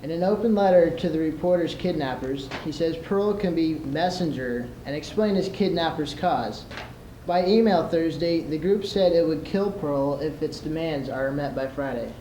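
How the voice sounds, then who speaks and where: Higher-voiced teenage boy reading news, echoey room. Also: moderate pace, warm tone. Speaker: student competitor playing radio newsreader. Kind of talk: scripted radio news delivery. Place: large room at a school event. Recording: echoey, noisy live room recording.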